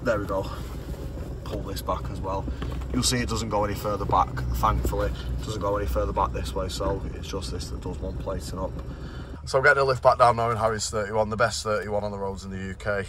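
A British man talks casually in a low, fairly flat voice, explaining things informally as in a car vlog. The recording has clear background noise, like a handheld video made around vehicles. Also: a little fast. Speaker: car restoration vlogger. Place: in a car, out on a street, and at a computer.

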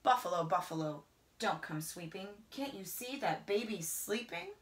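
A middle-aged woman reads a picture book aloud to children in a rhythmic, singsong way, often dropping into hushed whispers. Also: mid-range voice, lively intonation, moderate pace. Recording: roomy indoor echo.

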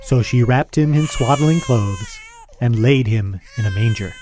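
A man narrating a scripted dramatic story in old radio style, earnest and deliberate. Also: mid-range voice, mellow, slight background noise.

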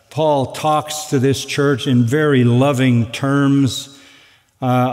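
An older male pastor preaching from a pulpit, measured and earnest with dry asides. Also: medium pitch, darkish tone. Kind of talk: expository sermon. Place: large church sanctuary at a wooden pulpit. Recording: long reverb of a large sanctuary.

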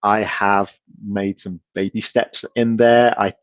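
A middle-aged man giving a casual conference Q&A from a home room over a video call, relaxed and hesitant, with many ums and restarts. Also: lowish voice, takes it slowly, no echo, muffled recording. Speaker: company speaker answering audience questions.

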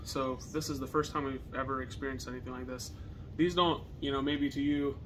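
Even-toned young American man, noisy recording. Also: mid-range voice, a little fast. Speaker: reseller co-hosting a video channel. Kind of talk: casual chat to camera. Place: partially finished basement, indoors. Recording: noisy basement room recording.

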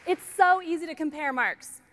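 A middle-aged woman presents a product demo on a big conference stage, talking through a headset mic. Her voice sits high, and she keeps the delivery bright and playful, slipping jokes between features. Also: moderate pace, a faint crowd.

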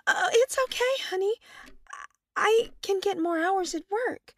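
Actress as meek, apologetic girlfriend for radio drama; extremely high voice, slow, hesitant, dry sound. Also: fairly bright tone, dry recording. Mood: nervous, placating. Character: meek, lonely, anxious, eager-to-please woman.

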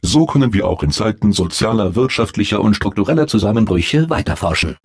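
An actor voicing a polite, unflappable automated announcer in a video game, with formal, measured, deadpan official delivery and a blandly upbeat temper. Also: male voice, lowish voice, slightly rough, no room sound, studio quality. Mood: blandly reassuring. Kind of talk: scripted game announcements, German dub.

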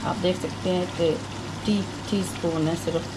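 A woman with a sore, hoarse throat talks chattily through each cooking step, her voice sitting on the low side. Sizzling and frying from the pan come through clearly under her voice. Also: fairly even intonation, moderate pace, heavy background noise. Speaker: cooking channel host. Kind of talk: home cooking demonstration. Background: frying and sizzling in a kitchen.

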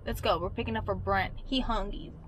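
A woman's voice: medium pitch, fairly bright tone, distant mic. A woman delivery driver narrating a casual ride-along, chatty and repeating a set catchphrase as she heads to each pickup. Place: inside a car. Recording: noisy car recording.

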